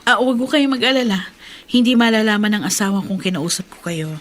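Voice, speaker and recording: A woman's voice: mid-range voice, lively intonation, fast talker, lightly acted. A woman playing a polite wife making discreet inquiries in a Filipino radio drama, courteous and probing. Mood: discreet curiosity.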